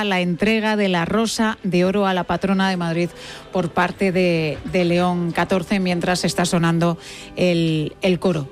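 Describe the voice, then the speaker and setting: A woman with an accent from Spain hosts a live radio broadcast, commentating and chatting in a measured, sometimes halting delivery. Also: fairly low pitch. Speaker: radio host.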